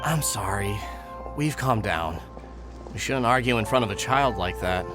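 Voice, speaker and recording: A man's voice: fairly low pitch, expressive, unhurried, over music. A man playing a touchy young man, a bickering brother, in a video game. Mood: subdued.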